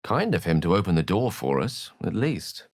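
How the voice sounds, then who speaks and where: A man's voice: sits low, very animated, moderate pace, no room sound, clean studio sound, heavily acted. A man voicing a courteous, self-assured wizard companion in a video game, articulate and wryly theatrical. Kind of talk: video game character voice lines.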